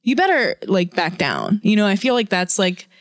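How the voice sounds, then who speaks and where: A woman co-hosting a podcast at a middle pitch, talking frankly and chattily. She swears casually and leans on "like" and "you know." Her voice sounds dry and close to the mic, with no room around it. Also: very animated, normal speed, dry recording. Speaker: podcast co-host. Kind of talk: casual conversation.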